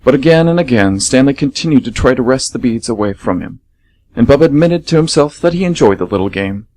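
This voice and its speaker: A man's voice: mid-range voice, animated, fairly quick, a little mellow, poor audio. A man reading scripted story narration for a radio theatre piece. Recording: faint background noise.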